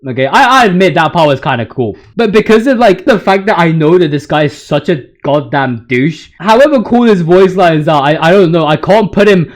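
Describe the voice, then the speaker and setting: A man's voice: on the high side, lively intonation, brisk, hardly any pauses. A man giving casual, slangy video game commentary in a ranting, hyperbolic, joking way, swearing freely. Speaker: video game commentator ranking boss voice lines.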